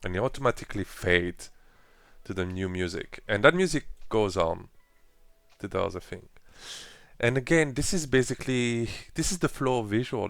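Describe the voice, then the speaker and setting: A man presenting a casual software tutorial with phrasing and frequent fillers, explaining each step while clicking through an editor. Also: fairly low pitch, creaky, smooth, no room sound. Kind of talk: screen-recorded software walkthrough.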